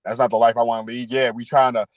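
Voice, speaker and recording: A man's voice: medium pitch, level tone, fast talker, no echo. Man in his thirties, candid street talk. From New York. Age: 30s. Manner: street slang, frequent "you know" and "word". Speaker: podcast guest.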